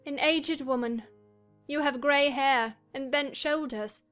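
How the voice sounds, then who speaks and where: A woman acts out a feverish young gentlewoman in a dramatized classic novel. Her voice is very high and theatrical, drifting from dreamy murmuring to anxious, fearful outbursts, with music underneath. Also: slow pace. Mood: dreamy, wandering.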